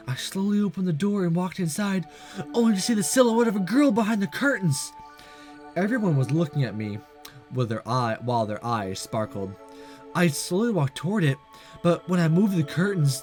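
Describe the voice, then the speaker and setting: Man, let's play host, slightly high voice, lively intonation, casual commentary and narration, music behind. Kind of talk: casual visual novel playthrough commentary and narration.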